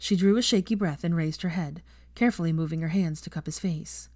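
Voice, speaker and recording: A female audiobook narrator reads scripted fiction in a slightly low voice. The sound is close and dry, with little trace of the room. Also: a little fast, a little mellow, dry recording.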